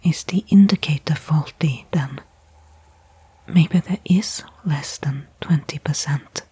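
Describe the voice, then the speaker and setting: A woman playing a weary stranded astronaut in a whispered ASMR sci-fi roleplay, breathy and hushed, thinking aloud with pauses between thoughts. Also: low-pitched, heavily acted. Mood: anxious, resigned. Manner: slow, soliloquizing, pausing between thoughts. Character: weary stranded female astronaut, anxious, faintly hopeful.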